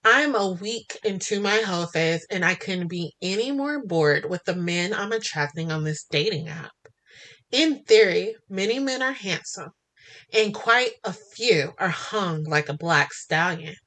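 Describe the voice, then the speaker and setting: A woman narrating a first-person romance story in an expressive, flirty and sassy way. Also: on the low side, measured pace. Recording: music bed under the voice. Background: music.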